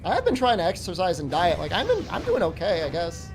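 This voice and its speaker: Casting note: a man in his early forties as a game streamer. His pitch swings widely, and he is loose and foul-mouthed, roasting his chat for laughs. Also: wildly swooping pitch, fast, noisy background. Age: 40s. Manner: profane, joking rants at chat. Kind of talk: live-stream chat while gaming.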